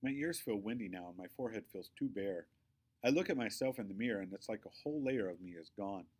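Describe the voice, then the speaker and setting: A man voicing a sullen, defiant young boy who tells his own hurts in a children's novel read aloud, expressive and aggrieved. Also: fairly low pitch, quick pace. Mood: hurt, sulky.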